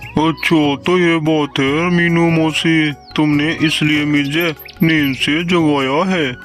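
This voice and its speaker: A man's voice: a little high, level tone, slow pace. A man voicing a kindly, helpful male animal in a children's cartoon fable, instructive and emphatic. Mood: generous, instructive. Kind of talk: Hindi children's cartoon storybook dialogue. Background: loud music.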